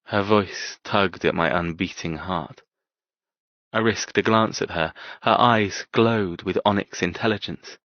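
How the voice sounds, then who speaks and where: Male actor as tormented cold antihero, low voice, terse intense storytelling, dry muffled audiobook sound. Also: measured pace, no room sound. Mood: brooding. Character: cold, brooding, tormented man narrating his dark romance. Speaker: audiobook narrator. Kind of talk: first-person scripted fiction narration.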